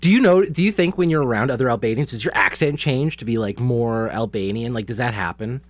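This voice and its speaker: A man's voice: mid-range voice, fairly quick. A man whose first language is French, interviewing a guest in a chatty, casual way full of "like". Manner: chatty, filler-heavy with "like".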